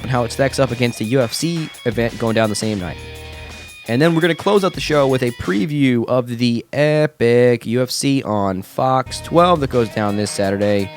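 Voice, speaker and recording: A man's voice: mid-range voice, darkish tone. A man hosting his own podcast, chatting casually with a nasal, stuffed-up sound and lots of fillers. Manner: chatty, enthusiastic, many fillers. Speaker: podcast host. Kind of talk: casual solo podcast talk. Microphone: home podcast mic through a mixer.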